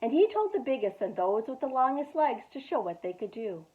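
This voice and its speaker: A woman youth director reading a children's story aloud at storytime, expressive, with whispered asides. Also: fairly high pitch, a little fast. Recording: tinny phone-like sound.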